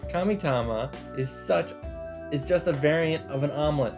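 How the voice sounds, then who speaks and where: A man's voice: on the high side, normal speed. A man hosting a let's play, reading game narration aloud with casual, mock-dramatic commentary. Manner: mock-dramatic, interjects swearing and side remarks. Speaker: let's play host reading a visual novel. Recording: game music under the voice. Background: visual novel background music.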